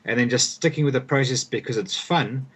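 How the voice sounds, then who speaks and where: A man's voice: medium pitch, a little fast, a bit bright, husky. A young man from South Africa, a guest author, giving thoughtful conversational answers and often repeating words as he restarts sentences. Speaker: guest author and performance coach.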